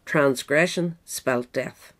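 Woman reading scripted nonfiction, measured. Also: low-pitched. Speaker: audiobook reader. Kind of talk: scripted narration of a political history essay.

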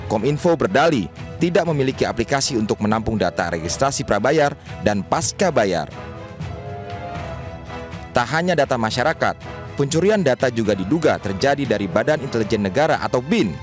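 A man's voice: mid-range voice, lively intonation, a little fast. A man reading a scripted TV news report as voice-over. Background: news background music.

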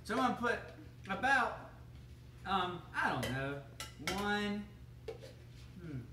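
A man's voice: high voice, very animated, slow, noisy background, heavily acted. A young man playing a goofy, bumbling male TV chef who rambles, chuckles and fumbles in a home kitchen. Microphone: camera across the room. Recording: echoey room, mic from far off.

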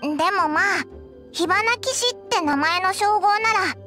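A tiny childlike fairy sidekick from an anime game, chatters in an extremely high, bright voice. The manner is cheeky, boyish and brash, with faint music behind. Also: female voice, animated, normal speed, exaggerated voice. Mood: curious, teasing. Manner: boyish, cheeky self-referential speech. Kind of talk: anime video game voice acting. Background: background music.

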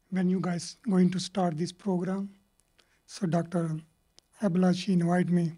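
A middle-aged man from Pakistan with a Pakistani accent, a Punjabi native speaker, giving rambling, halting panel remarks full of fillers into a handheld mic in a lecture hall. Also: sits high, mellow.

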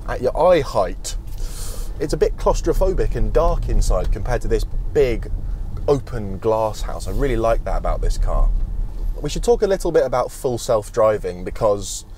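A man's voice: on the low side, very animated, normal speed, a little mellow, a little hiss or hum. A young man with a British accent presenting a car review to camera. He talks in a chatty, wry, opinionated way while driving, and later stands outdoors at a charger. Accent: British English. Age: young adult.

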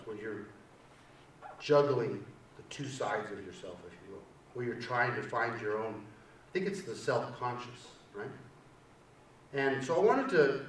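A middle-aged man giving a university poetry lecture, chatty, who keeps checking in with "right?" and reads a poem aloud. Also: mid-range voice. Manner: conversational, keeps asking "right?". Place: lecture hall. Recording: echoey hall from far off, faint hiss.

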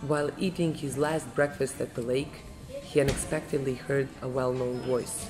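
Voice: mid-range voice, fairly even intonation, normal speed, poor audio. A narrator reading a scripted fairytale story. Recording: music behind the voice.

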